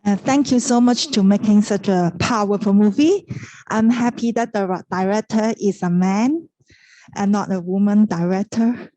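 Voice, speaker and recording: A woman in the audience, speaking up loudly and emphatically. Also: mid-range voice, normal speed, dry recording, dull audio. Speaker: audience member.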